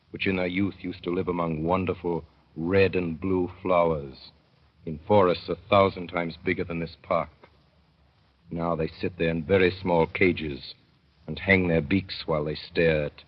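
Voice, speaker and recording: A man narrating a 1930s radio drama as a father, in a wry, mournful storytelling manner that slows to dwell on sad images. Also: low voice, husky. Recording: dull old radio broadcast.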